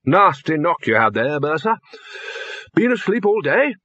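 Man voicing an awkward, high-pitched wizard chief. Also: big pitch swings, unhurried, bright, forceful tone, no echo, muffled recording, heavily acted. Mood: awkwardly kind. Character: diffident, well-meaning male head of wizard university.

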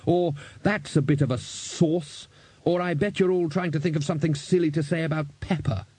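Man acting blustering old wizard, gruff, exasperated, commanding, often raised pitch, audiobook character. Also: normal speed, dark tone, no echo.

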